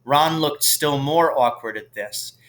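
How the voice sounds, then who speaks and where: A man reading a storybook aloud as a teacher would, in expressive narration. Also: on the high side, slow pace.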